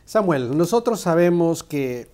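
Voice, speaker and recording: A man's voice: fairly high pitch, very animated, measured pace, darkish tone. A male host leading a studio panel, pressing guests with probing questions and interruptions.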